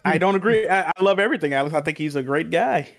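A man with a high voice that moves up and down a lot. He co-hosts a podcast and speaks quickly in casual banter. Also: lively intonation, vocal fry. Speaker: podcast co-host.

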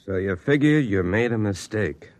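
An actor playing a gruff, world-wise freight office boss, blunt, slangy and lecturing, in an old-time radio drama. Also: male voice, fairly low pitch, animated, moderate pace, darkish tone, no room sound, close to an everyday voice.

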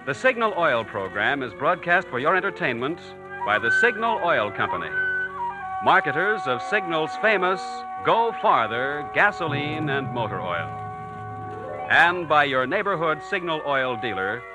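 A man's voice: on the high side, very animated, measured pace, loud music behind the voice, low-quality recording. A man announcing a scripted 1940s radio commercial in a polished, salesman-like manner, on a vintage broadcast recording. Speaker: radio announcer and sponsor pitchman.

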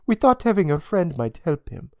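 An adult reader voicing a polite, sinister vampire woman with a European accent and a measured, knowing manner for a story. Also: mid-range voice, very animated, dark tone, no echo, pitched above the performer's own voice. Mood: courteous.

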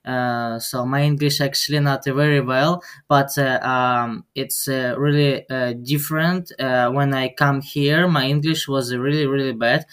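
A teenage boy from Ukraine with a Ukrainian accent, speaking halting non-native English full of pauses and fillers as a podcast guest. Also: mid-range voice, steady tone, fairly bright tone, clear, resonant tone. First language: Ukrainian and Russian. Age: teens. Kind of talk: podcast interview.

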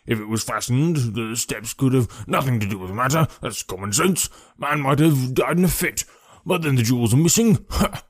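A man voicing a stout, self-satisfied middle-aged policeman in an audiobook story, with a muffled, husky, wheezy voice and a hearty, pompous manner. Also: mid-range voice, dry recording, studio quality, close to an everyday voice. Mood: brisk, self-satisfied. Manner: hearty, pompous, repeating his phrases.

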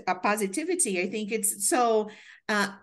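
Brazilian lady hosting a podcast, chatty, lots of um and you know, middle pitched voice. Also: animated, normal speed, fairly bright tone. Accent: Brazilian Portuguese. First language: Portuguese. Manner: chatty, many fillers. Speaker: podcast host. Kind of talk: casual interview chat.

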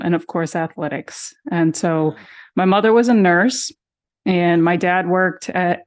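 A woman in her forties, raised in Massachusetts with her Boston accent mostly gone, recounting her family in a relaxed interview. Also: lowish voice, clear, resonant tone. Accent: General American, Boston accent mostly gone. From Massachusetts, USA. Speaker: interview guest, sister of missing woman. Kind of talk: casual interview conversation.

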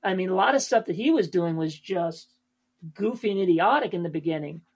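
A male stand-up comedian with a high voice, chatting casually as a podcast guest, rambling with many fillers and restarts. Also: animated, a little fast, husky.

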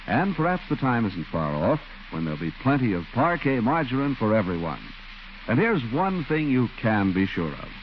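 A man's voice: mid-range voice, big pitch swings, normal speed, slight background noise. An American man announcing in a scripted 1940s radio style, as for sponsor spots and credits. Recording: muffled 1940s radio recording, faint music.

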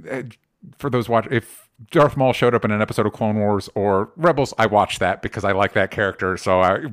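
A young adult man chatting on a podcast in a middle-pitched voice. He talks casually and rambles, trips over restarts, and drops in emphatic swears. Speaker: podcast co-host. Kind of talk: casual pop-culture chat.

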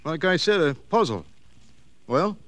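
A talkative demolition boss in a classic radio drama. His voice sits a little high, and he talks in a folksy, impatient, pressing way. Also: expressive, a little slow, fairly bright tone, dry recording. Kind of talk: 1940s-50s radio drama acting.

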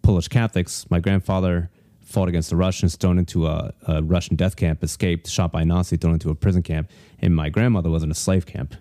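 A man with a very low, dark voice spins long, wandering stories on a podcast. He restarts his sentences often and chats casually. The sound is close and dry, with no room around it. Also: fairly even intonation, fairly quick, no room sound. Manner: rambling storyteller, many restarts. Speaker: stand-up comedian guest.

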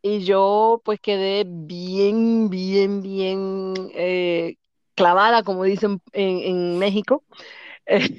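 A woman in her fifties with a Dominican Spanish accent, a guest telling lively stories and laughing often. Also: mid-range voice, drawn-out words, clear, resonant tone, dry recording, dull audio. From Dominican Republic. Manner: lively, laughs often, acts out other people's lines. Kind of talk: casual storytelling interview.

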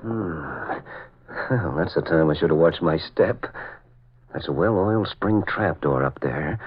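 An actor playing a confident, wry masked crime-fighter in a 1940s radio adventure. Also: male voice, very deep voice, lively intonation, a little slow, breathy edge, full character voice. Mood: wry, tense. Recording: muffled, noisy old radio recording.

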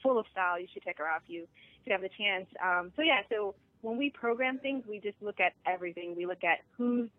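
A woman's voice: mid-range voice, brisk, dry recording. A woman, a film festival founder, chatting as a guest on a radio show by phone, bubbly, laughing often and using many fillers. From Liberian family. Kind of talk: casual radio interview. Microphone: phone line. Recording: tinny dry phone line.

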